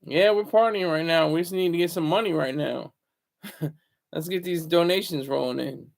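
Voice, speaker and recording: A man hosts a casual livestream from home, talking in a deliberately hushed, lowered voice. His tone is gravelly with a slightly raised pitch for a man, and he often stumbles and repeats words as he chats with viewers. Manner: deliberately low, hushed delivery. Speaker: livestream host. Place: home, room indoors.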